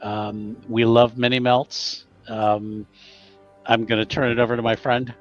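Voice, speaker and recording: A man's voice: mid-range voice, level tone, unhurried, highs cut off, faint music behind. A man chatting casually as a player on a tabletop roleplay show. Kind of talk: casual group chat.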